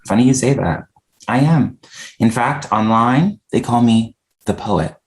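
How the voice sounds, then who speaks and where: An actor playing an earnest, opinionated but polite poet in a screenplay table read. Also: male voice, medium pitch, unhurried, natural voice, poor audio.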